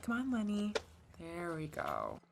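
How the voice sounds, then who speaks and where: A woman's voice: fairly low pitch, huge pitch swings, measured pace, creaky, heavily acted. Doting, scolding motherly wife, slight noise. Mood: fussing, tender. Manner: cooing, defensive. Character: doting, scolding motherly wife fussing over adopted creature.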